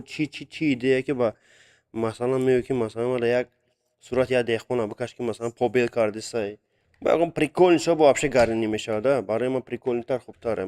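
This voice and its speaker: Young male streamer, halting and filler-heavy, mixes in Russian words, phone mic, casual. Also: mid-range voice, a little mellow. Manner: hesitant, with many fillers, mixing in Russian words. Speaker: live-stream artist drawing viewer requests. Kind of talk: casual live-stream chat.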